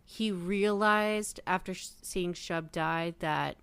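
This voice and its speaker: Woman podcast panelist, clear and smooth voice. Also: on the low side, takes it slowly, fairly bright tone, dry recording. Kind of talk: casual fan discussion.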